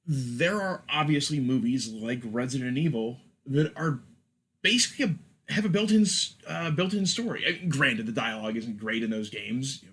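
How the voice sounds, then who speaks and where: A man's voice: medium pitch, fairly bright tone. A man hosting a casual podcast discussion, framing questions for the panel in a conversational way. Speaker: podcast host.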